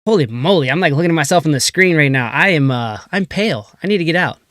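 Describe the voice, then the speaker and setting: A man co-hosting a casual crypto livestream, opening with energetic hype and still slightly congested after being sick. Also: on the high side, fast talker, no room sound, clean studio sound. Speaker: crypto show co-host.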